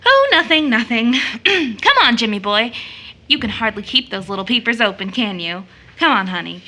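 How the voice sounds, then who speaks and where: A woman's voice: fairly high pitch, very animated, normal speed, bright, forceful tone. A woman playing a tender, anxious young sister and daughter in an audio drama, with a hesitant manner.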